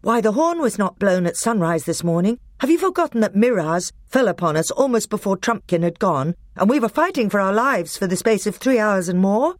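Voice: medium pitch, lively intonation, brisk, few pauses, no room sound, clean studio sound, pitched above the performer's own voice, lightly acted. A reader voicing a young male king who speaks firmly and urgently. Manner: firm, impatient.

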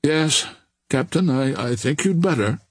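A man voicing a fair-minded, dignified older gentleman in an audiobook, measured and formal. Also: a little high, lively intonation, husky, no echo, studio quality, pitched above the performer's own voice. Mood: resigned.

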